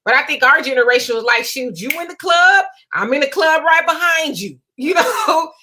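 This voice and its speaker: A woman in her forties co-hosting a casual live discussion, chatty and animated, driving points home with a rhetorical "right?". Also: high voice, normal speed, slight background noise. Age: 40s. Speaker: co-host.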